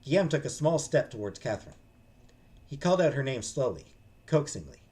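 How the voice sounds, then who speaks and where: Man narrating with lively, animated pitch. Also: fairly quick. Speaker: game streamer. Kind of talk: dramatic read-aloud narration of a visual novel.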